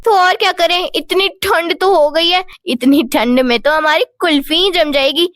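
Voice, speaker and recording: Woman voicing a high-pitched, stubborn young wife. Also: normal speed, fairly bright tone, no echo, clean studio sound. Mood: complaining, coaxing. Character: young wife, always cold, stubborn and pleading. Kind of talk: Hindi cartoon moral-story dialogue.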